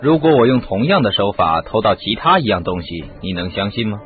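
A man's voice: fairly low pitch, big pitch swings, fairly quick, soft background music. An actor playing a confident, persuasive male sleuth in a radio drama. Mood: assured. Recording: muffled phone-like radio recording.

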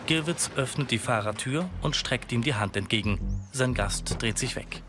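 A man's voice: medium pitch, moderate pace, loud music behind the voice. A man reading scripted audio description narration of screen action.

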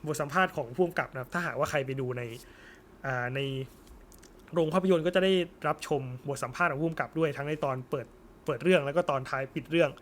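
A man's voice: fairly high pitch, fast talker, fairly bright tone, a little hiss or hum. A young man chatting casually and informally as co-host of an anime discussion. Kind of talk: casual post-film chat.

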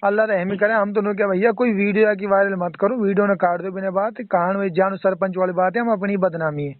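A man with a Mewati accent talks in a high-pitched voice on a dull, dry phone recording. He is exasperated and emphatic, in a casual, chatty way. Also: flat delivery, quick pace, no echo. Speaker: phone call participant. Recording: band-limited phone call recording.

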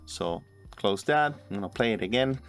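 Guy in his 40s walking through an editing tutorial, low voice, music behind him. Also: expressive, moderate pace. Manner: step-by-step, instructional. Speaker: video editing tutorial host. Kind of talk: software tutorial narration. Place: indoor room at a computer.

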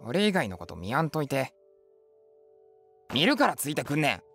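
A man's voice: mid-range voice, big pitch swings, normal speed, a bit bright, faint music behind. A man playing a creepy, possessive creature posing as a cheerful teenage boy in a voice comic, with a Mie accent and a nonchalant drawl. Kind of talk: voice comic drama acting.

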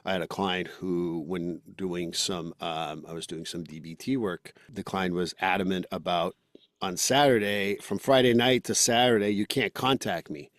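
A low-pitched man speaking English with a Quebec French accent, his first language being French. He hosts a casual podcast conversation, blunt and joking with strong opinions, recorded dry and close with no room sound. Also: steady tone, moderate pace, no room sound. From Quebec, Canada. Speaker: podcast host.

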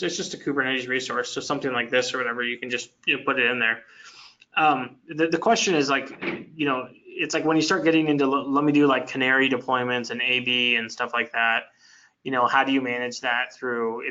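A man's voice: medium pitch, fairly even intonation, a little fast, bright, forceful tone. A man answering technical questions in a web meeting, rambling with many fillers and restarts. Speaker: software engineer presenting a product. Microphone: video call. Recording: dull lo-fi web meeting audio.

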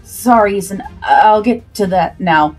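A woman's voice: mid-range voice, a little slow, faint music behind, lightly acted. A woman voicing a cheeky, eager sixteen-year-old cabin boy with youthful, casual banter in a pirate story. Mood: sheepish.

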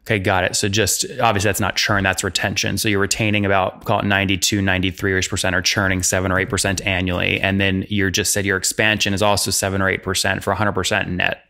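Podcast host: young man, brisk and direct, forceful voice at a rapid pace. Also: low voice, monotone. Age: young adult. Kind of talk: probing business interview. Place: small room with bookshelves, video call. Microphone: desk microphone with headset.

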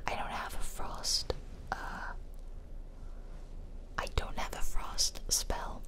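A woman whispering casual, chatty ASMR commentary over a video game, close to the microphone. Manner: casual, chatty asides to viewers. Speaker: gaming video host. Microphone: close-up microphone, ear-to-ear whispering.

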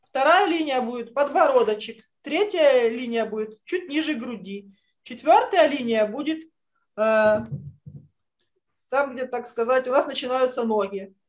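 Female art teacher, middle-aged, with a high-pitched voice, giving children encouraging step-by-step drawing instruction over a video call. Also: expressive, unhurried. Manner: encouraging, uses affectionate diminutives. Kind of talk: online drawing lesson for children. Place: indoor room, on a video call.

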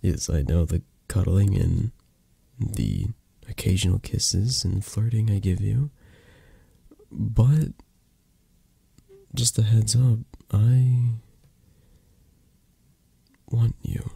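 A man playing a flirty, possessive, tender mafia boss in an intimate ASMR roleplay, hushed and halting, drifting into whispers. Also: fairly low pitch, creaky, slightly rough, dry recording, exaggerated voice. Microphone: close microphone, dry sound.

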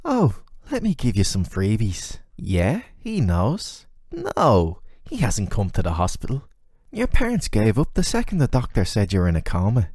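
A man's voice: on the low side, huge pitch swings, moderate pace, darkish tone, slightly rough, dry recording, studio quality, exaggerated voice. A man voicing a flirty, sardonic, ageless male demon who teases the listener in casual, slangy, intimate roleplay audio. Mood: mock sympathy.

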